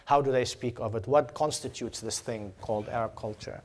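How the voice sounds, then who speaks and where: A man's voice: mid-range voice, brisk, a little mellow, a little hiss or hum. A man from Jordan, a lecturer answering audience questions, talking with many fillers, repeated words and restarts. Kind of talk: academic Q&A answer. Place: lecture hall.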